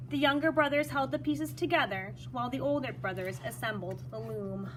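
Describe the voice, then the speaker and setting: A woman reading a children's story aloud in an animated way, acting out dialogue and pausing to explain words. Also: sits high, slight background noise, low-quality recording. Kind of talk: expressive read-aloud with brief explanations for children.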